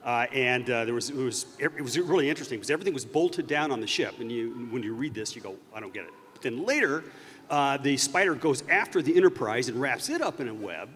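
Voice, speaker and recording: Man, echoey hall, lively swooping intonation, halting thoughtful storytelling, live panel. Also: medium pitch. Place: large convention stage. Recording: echoey hall PA with faint noise.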